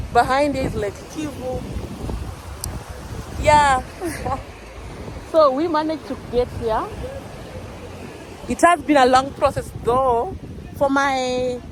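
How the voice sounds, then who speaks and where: A woman's voice: high-pitched, background noise. A young woman vlogging her travels, chatting casually and laughing often, filming with a handheld camera outdoors. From Kenya. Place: outdoors on a moving boat and a beach.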